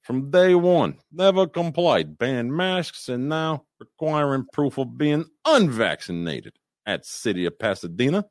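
A brash Italian restaurant owner, loud and boastful, done by a man in a high, over-the-top mock Italian accent. He sounds close and dry, with no room around him. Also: big pitch swings, a little slow, dry recording. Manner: high, theatrical put-on accent.

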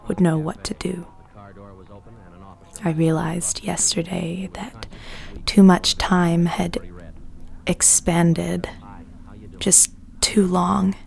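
A woman playing a troubled, brooding diarist in an audio drama monologue, confiding secrets in a hushed half-whisper with deliberate pauses. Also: on the low side, creaky. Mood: brooding, secretive.

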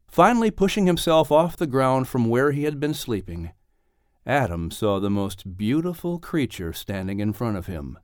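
A man reading a children's adventure audiobook as narrator, in an expressive scripted storytelling style. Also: medium pitch, no room sound, clean studio sound.

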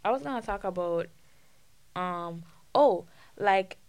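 A young woman with a Jamaican accent, a student guest chatting casually on a podcast, animated and quick to joke. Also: mid-range voice, clean tone. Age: young adult. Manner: animated, laughs and jokes, speeds up when excited. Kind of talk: casual chat.

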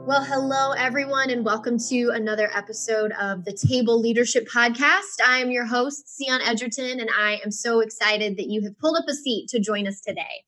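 A woman's voice: fairly high pitch, brisk, few pauses, faint music behind. A woman hosting a leadership podcast, chatting with effusive enthusiasm. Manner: warm, effusive introductions. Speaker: podcast host. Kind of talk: enthusiastic interview chat.

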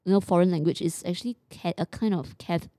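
A woman's voice: on the low side, quick pace, mellow, no room sound, clean studio sound. A woman with a Singaporean accent co-hosting a podcast in casual chat, mixing English with Mandarin. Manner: code-switches between English and Mandarin. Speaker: podcast co-host.

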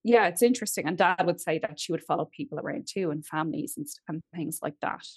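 A woman's voice: fairly low pitch, quick pace, breathy edge. A young adult woman from Ireland with an Irish accent, guest on a podcast, chatting and telling family stories with easy laughs and a level that rises and falls. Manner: chatty, drifts between loud and quiet, laughs easily. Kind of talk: casual storytelling conversation.

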